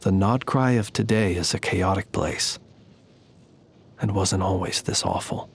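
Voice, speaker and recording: An actor playing a courtly, ancient inhuman gentleman soldier in a video game, speaking in a polite, formal, measured and wryly understated manner. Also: sits low, slight background noise. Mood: courteous, reflective. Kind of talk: scripted game character voice lines.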